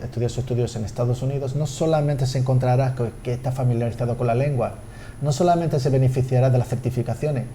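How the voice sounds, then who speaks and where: A man, a language school director interviewed on TV, speaking haltingly with repeated words and fillers. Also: mid-range voice, mellow, a little hiss or hum. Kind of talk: TV studio interview.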